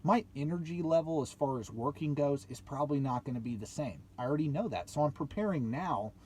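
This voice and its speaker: A man's voice: fairly high pitch, expressive, brisk, darkish tone. Emphatic young man vlogging over background noise. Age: late 20s. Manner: emphatic, repeats points, rhetorical questions. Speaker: YouTube channel host. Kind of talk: motivational vlog talk to viewers.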